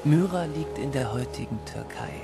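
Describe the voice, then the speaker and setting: An actor playing a reflective storyteller, narrating an audio drama in a measured, literary way. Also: male voice, a little high, expressive, over loud music. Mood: storytelling. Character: wistful male storyteller recalling his boyhood.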